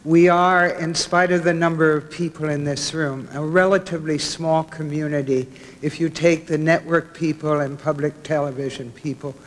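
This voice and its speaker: A woman giving an acceptance speech as a documentary producer. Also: low voice. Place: large hall at an awards ceremony. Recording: echoey hall with faint crowd.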